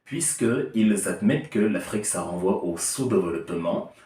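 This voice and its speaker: A man's voice: low voice, lively intonation, normal speed, warm tone. A man giving a heated political opinion monologue, emphatic and argumentative with an ironic edge. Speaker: political commentator. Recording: echoey room, distant mic.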